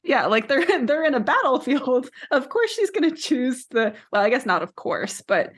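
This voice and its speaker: A woman's voice: high voice, very animated, normal speed, breathy edge. Female podcast co-host, conversational, argumentative but careful to qualify what she says. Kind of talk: casual book discussion.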